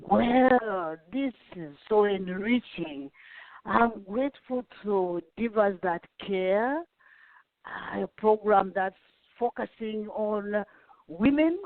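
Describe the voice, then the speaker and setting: A woman hosting an interview, effusive and gushing, with halting phrasing. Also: mid-range voice. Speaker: interviewer, host. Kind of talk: interview over a call. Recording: dry tinny phone-like line.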